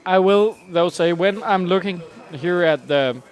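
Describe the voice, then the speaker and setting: Wry, fairly high-voiced young man. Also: a little slow. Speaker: podcast co-host. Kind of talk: casual chat.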